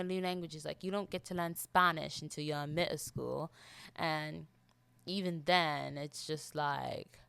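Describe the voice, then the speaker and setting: A woman's voice: low voice, creaky, clear, resonant tone, no room sound. A young American woman from Florida putting on a British accent, chatting casually and expressively as a solo podcast host in a rambling monologue. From Florida, United States. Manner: expressive, rambling, exasperated emphasis with repeated phrases.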